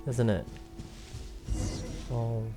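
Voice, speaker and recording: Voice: medium pitch, steady tone, drawn-out words. A gamer giving casual let's-play commentary, thinking aloud and reading on-screen text. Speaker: gaming video host. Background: faint game music.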